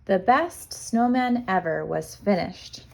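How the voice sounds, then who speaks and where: A woman reading a children's storybook aloud as a kindergarten teacher, expressive and measured for young listeners. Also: medium pitch, creaky, low-quality recording.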